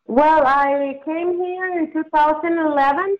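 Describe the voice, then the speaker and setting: A woman from Venezuela with a Venezuelan Spanish accent, a guest answering in a hesitant way with pauses and fillers. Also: high-pitched, fairly even intonation, muffled recording.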